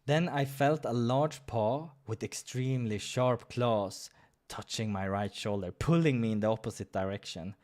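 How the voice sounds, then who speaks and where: A man's voice: medium pitch, normal speed, a little mellow. A man hosting a visual novel playthrough, reading the story aloud with playful, theatrical reactions. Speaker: gaming host narrating a playthrough. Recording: music behind the voice.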